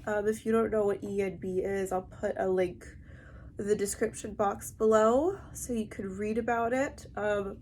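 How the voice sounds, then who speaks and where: A woman speaks in a thick, blocked-up nasal voice at an ordinary pitch. She explains things haltingly, as in a personal vlog to camera. The amateur recording carries a little background noise. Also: steady tone, a little mellow. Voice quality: heavily congested, stuffy nasal. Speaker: vlogger.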